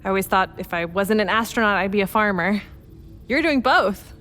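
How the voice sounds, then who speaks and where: An actress playing a guarded, dry-humored astronaut pilot in a radio play, with understated, conversational delivery over faint music. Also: female voice, mid-range voice, lively intonation, normal speed, fairly bright tone, lightly acted. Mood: warm, dry.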